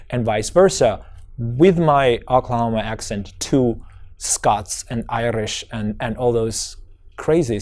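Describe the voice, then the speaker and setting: A man's voice: mid-range voice, a little mellow. A young man from Georgia with an American accent, his first language Georgian, telling his life story in an oral history interview, reflective and full of restarts. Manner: reflective, many restarts and fillers.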